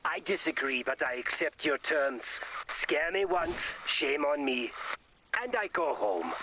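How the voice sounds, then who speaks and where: An actor playing a morose brain creature in a video game, speaking in a deadpan, dramatic and gloomy way as he hungers for fear. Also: on the high side, slow, a little hiss or hum, full character voice, rough recording. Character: morose brain-creature man seeking ultimate fear, chunky and emotionless. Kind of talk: video game character voice acting. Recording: loud music under the voice.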